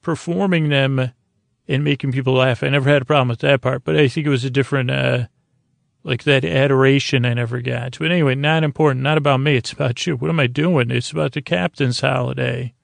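A man with a gravelly voice hosting a bedtime podcast, droning through a halting, meandering monologue full of stammered repeats and doublings back. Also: medium pitch, fairly even intonation, dry recording. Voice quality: gravelly, creaky. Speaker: podcast host. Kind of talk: rambling, meandering bedtime monologue meant to lull listeners to sleep.

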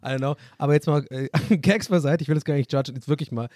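A man's voice: on the high side, fast, a little mellow, no echo, studio quality. A man co-hosting a casual podcast, joking and rambling with frequent laughter. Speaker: podcast co-host. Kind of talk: casual chat.

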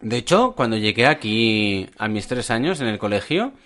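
A man's voice: lowish voice, sing-song, moderate pace, fairly bright tone. A man around forty from Spain, co-hosting a casual podcast chat, joking and swearing with frequent stumbling restarts. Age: about 40. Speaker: podcast co-host.

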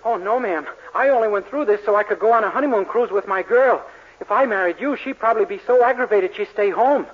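A man's voice: high-pitched, fast, noisy background, close to an everyday voice. An actor playing a naive, earnest young soda jerk in his twenties in a 1940s radio comedy, with a folksy manner. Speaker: sitcom lead. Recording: muffled vintage 1940s radio recording.

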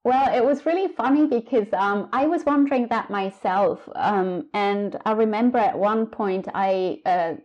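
A woman in her thirties from Switzerland, a book author talking reflectively in an interview with many pauses and fillers. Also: mid-range voice, a little mellow, highs cut off. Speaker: author guest. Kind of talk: casual interview conversation.

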